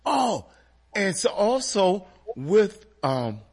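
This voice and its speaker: A man with a stuffy, slightly nasal voice hosts a relaxed music radio chat. His pitch swings up and down, he speaks slowly, and his tone is a little husky. He talks straight to his listeners. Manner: animated, addresses listeners as folks. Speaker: radio show host.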